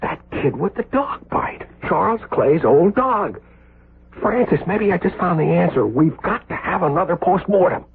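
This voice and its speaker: A man's voice: sits high, expressive, moderate pace, vocal fry, background noise. An actor playing an intense, earnest male surgeon with emphatic delivery in an American 1970s radio drama. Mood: agitated. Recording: muffled, lo-fi old radio broadcast.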